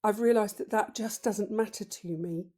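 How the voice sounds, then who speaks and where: A woman in her fifties with a deep voice, a podcast guest talking slowly and thoughtfully in a casual interview. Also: dark tone. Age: 50s. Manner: slow, thoughtful, reflective.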